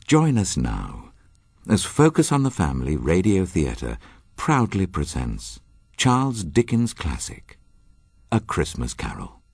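Deep, husky, very expressive male narrator. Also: takes it slowly, no echo, clean studio sound. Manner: solemn, dramatic storytelling. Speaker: host introducing a radio drama. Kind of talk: scripted narration.

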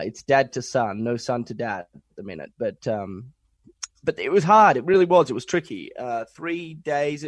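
A young man co-hosting a podcast from home, nasal and congested from a cold, talking in halting restarts. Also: mid-range voice, no echo. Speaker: podcast co-host. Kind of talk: casual podcast chat.

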